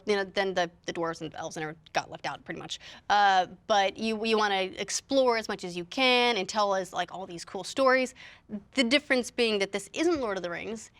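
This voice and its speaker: A woman talking as a panelist in a casual analytical discussion, reasoning aloud in a measured way. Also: mid-range voice, a bit bright. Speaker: talk show panelist.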